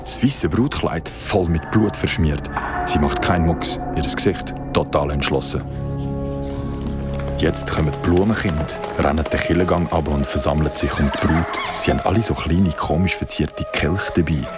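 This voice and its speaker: A man's voice: extremely low pitch, moderate pace, loud music behind the voice. An actor playing a casual, slangy young man recounting a wedding in a radio drama. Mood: shocked. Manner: slangy, easily amazed, casual. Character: Besim, casual young male wedding guest recounting a horror. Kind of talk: colloquial radio drama storytelling.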